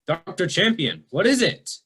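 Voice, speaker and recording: A man's voice: high-pitched, sing-song, a little slow, fairly bright tone, breathy edge, full character voice. A man playing a cocky, dim heroic spaceship captain with self-important bravado in a campy sci-fi radio play. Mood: puzzled.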